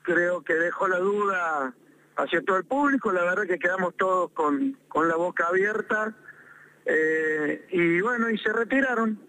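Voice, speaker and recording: A man with an Argentine accent speaks over a tinny phone line, his voice high and bright. He is insistent and aggrieved, hammering his complaint home and repeating phrases for emphasis. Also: expressive, measured pace. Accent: Argentine Spanish. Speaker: mayor calling in. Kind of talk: phone interview.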